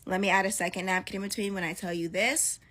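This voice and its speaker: A woman's voice: mid-range voice, steady tone, fast, fairly bright tone. A woman delivering sarcastic, mocking commentary in a reaction video. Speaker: online commentator.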